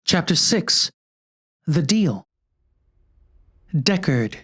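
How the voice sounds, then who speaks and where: A woman's voice: deep voice, very animated, extremely slow, husky, no echo, clean studio sound. A woman reading out scripted chapter headings as an audiobook announcer.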